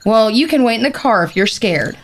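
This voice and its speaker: An actress playing a bossy, teasing young woman who leads a group of explorers in an audio drama, with a brisk, dry manner. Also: on the high side, lively intonation.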